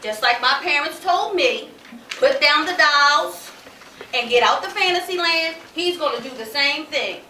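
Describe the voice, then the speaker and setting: A woman's voice: sits high, expressive, measured pace, pushed and bright, heavily acted. An American woman playing a stern, domineering mother on stage, scolding in an emphatic, dismissive manner. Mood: scornful. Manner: scolding, emphatic, rhetorical questions. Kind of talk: stage play acting. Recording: echoey stage, distant mic, faint noise.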